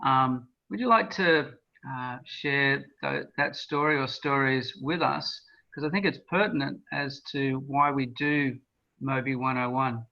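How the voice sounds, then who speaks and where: A man's voice: mid-range voice, measured pace, vocal fry. A man hosting an interview, asking friendly questions in a polite, affirming way with hesitant openings. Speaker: interviewer, training course host. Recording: slightly dull lo-fi audio.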